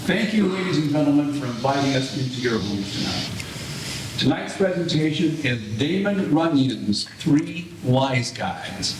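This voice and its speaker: 1940s radio announcer, male actor, showy and courteous, unhurried, lively intonation. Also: mid-range voice, close to an everyday voice. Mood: showy, formal. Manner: formal, theatrical. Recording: roomy echo, clear background noise.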